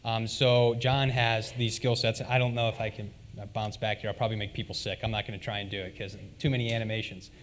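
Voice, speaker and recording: A man giving a relaxed, conversational conference talk on stage and leading audience questions, with frequent "um"s. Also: on the low side, fast, few pauses, a little hiss or hum. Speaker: conference presenter.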